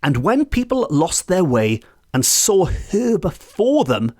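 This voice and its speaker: Lively man telling folklore. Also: high-pitched, slow pace. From Wales. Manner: lively, playful storyteller who stretches out key words. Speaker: podcast host. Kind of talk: folklore storytelling podcast. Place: home in a residential area.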